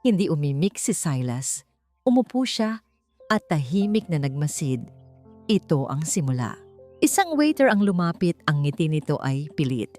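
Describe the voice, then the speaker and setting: A Filipino woman reads a scripted story as an audiobook narrator. Her voice sits a little low, and her pitch moves in a lively way as she tells it expressively and with measure. Music plays behind her. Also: moderate pace. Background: background music.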